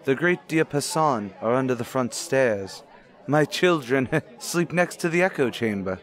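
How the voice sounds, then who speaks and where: A man's voice: mid-range voice, expressive, a little slow, lightly acted. A man playing a courtly, cultured rich widower, formal and suave, in an old-time radio drama. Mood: rapturous, genial.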